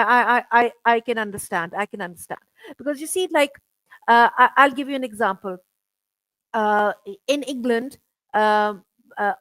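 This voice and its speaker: Middle-aged lady chatting on a video call, kinda high voice, stops and restarts a lot, dry sound. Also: fairly bright tone, dry recording, rough recording. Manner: chatty, halting, full of "you know" and repeats. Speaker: interviewer. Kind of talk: casual conversational interview.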